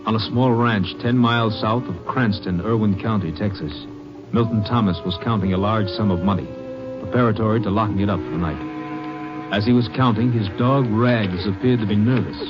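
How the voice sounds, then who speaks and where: Lowish-voiced terse male radio narrator. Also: normal speed, over music. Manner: terse, factual, solemn. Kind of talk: scripted radio crime drama narration. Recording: muffled old radio recording.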